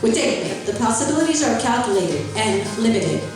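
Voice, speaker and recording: Female radio-play announcer, fairly high voice, scripted, distant mic in a very echoey room. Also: sing-song, fairly quick. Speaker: narrator and sponsor announcer. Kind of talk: scripted old-time radio narration and mock advert. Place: library meeting room, live performance. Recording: roomy echo, distant mic, music.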